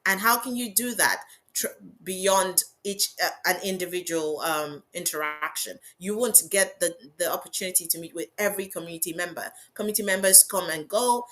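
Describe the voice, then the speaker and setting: A woman with a strong, emphatic voice speaks up on a panel. Her pitch sits a little low, and she stresses her points with conviction. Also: fairly bright tone. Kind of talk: panel discussion remarks.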